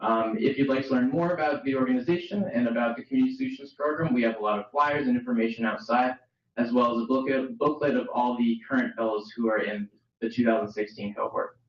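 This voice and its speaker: Man presenting at an event, halting with ums, echoey room, muffled sound. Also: medium pitch. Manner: halting, many "um"s and restarts. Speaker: program presenter.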